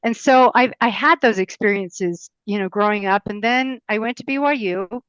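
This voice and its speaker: A woman in her fifties with a smooth, resonant voice recounts her upbringing in an interview. She rambles, with frequent ums and restarts. The sound is dry and slightly dull, like a lo-fi recording. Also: medium pitch, moderate pace, a bit bright, dry recording. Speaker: mayoral candidate guest. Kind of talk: interview life story.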